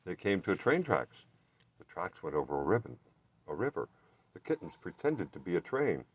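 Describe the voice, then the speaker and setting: A man reading a children's storybook aloud with expressive, deliberate narration. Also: deep voice, husky. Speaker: children's bookstore reader.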